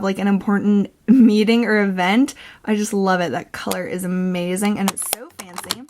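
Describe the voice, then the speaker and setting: A young woman in her twenties showing her makeup collection to the camera at home, chatty and gushing, with lots of fillers. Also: mid-range voice. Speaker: beauty vlogger. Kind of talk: casual makeup collection tour. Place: indoors at home beside a makeup storage unit.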